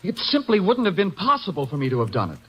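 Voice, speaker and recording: An actor playing an earnest, jealous suspect with slightly formal speech in an old radio drama. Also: male voice, high voice, huge pitch swings, fast, fairly bright tone, breathy edge, heavily acted. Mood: anxious, defensive. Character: jealous, earnest male murder suspect, protesting innocence.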